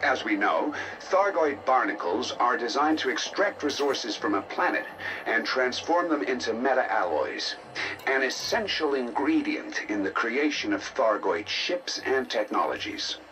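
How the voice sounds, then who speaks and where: An actor playing a curious male researcher of ancient alien ruins in a video game, explaining findings in a measured, articulate, academic way. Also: medium pitch, sing-song, music in the background, poor audio. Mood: intrigued, explanatory. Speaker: mission contact explaining decoded logs. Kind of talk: scripted game narration, expository.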